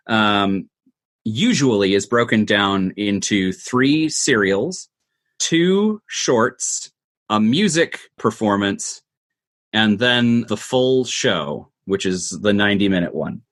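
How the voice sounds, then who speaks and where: A man's voice: on the low side, a bit bright. A man giving thoughtful, conversational interview answers, explaining things step by step. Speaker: interview guest, audio drama producer.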